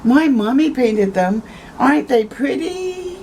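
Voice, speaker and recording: Woman doing a high-pitched, emphatic little schoolgirl. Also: expressive, takes it slowly, a little mellow, slight background noise, heavily acted. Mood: proud, breezy. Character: Viviana, colorful chatty young schoolgirl, easily upset.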